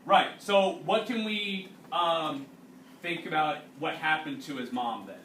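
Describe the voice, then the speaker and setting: A man reading a storybook aloud to a class as their teacher, pausing to explain and ask questions. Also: on the high side, pushed and bright. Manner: instructive, checking understanding with questions. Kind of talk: read-aloud with explanatory asides and questions to pupils. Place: indoors, classroom. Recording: roomy, distant mic, faint background noise.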